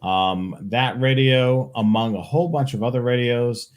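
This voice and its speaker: A man's voice: mid-range voice, a little slow, darkish tone, smooth. Guy hosting a tech q&a stream, plain-spoken, casual, regular mid voice. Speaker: live show host.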